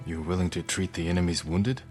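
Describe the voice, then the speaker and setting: An actor playing a stern, stoic samurai lord, terse, grave and commanding, in a dramatic video game cutscene. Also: male voice, deep voice, steady tone, a little fast, close to an everyday voice. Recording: background music under the dialogue.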